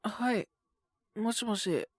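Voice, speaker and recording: A man's voice: sits high, takes it slowly, no echo, studio quality. A man acting an earnest, polite young junior coworker who is sick with a cold, coughing and sighing in an intimate Japanese voice drama. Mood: polite, grateful. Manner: polite and deferential on the phone, sighing, murmuring to himself.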